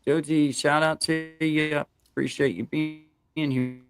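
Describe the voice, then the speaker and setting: A man's voice: a little high, fairly even intonation, unhurried, over music. A man co-hosting a live morning stream who talks in a friendly, rambling way and thanks viewers. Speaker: co-host of a live morning show. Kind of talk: casual live-stream chat.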